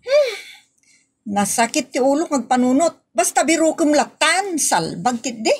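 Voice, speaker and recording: A woman's voice: high-pitched, very animated, unhurried. A woman acting the part of a forgetful, busy housewife in a homemade comedy skit, exasperated and muttering to herself. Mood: flustered.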